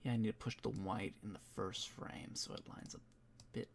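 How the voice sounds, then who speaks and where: A man streaming as he edits a comic, muttering quietly to himself and answering chat in a casual way. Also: on the low side, steady tone, normal speed, creaky, a little hiss or hum.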